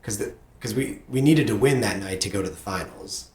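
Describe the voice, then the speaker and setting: A man's voice: lowish voice, fairly quick. A middle-aged man hosting a podcast, interviewing in a casual, conversational way. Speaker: podcast host and parent. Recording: roomy, echoey sound.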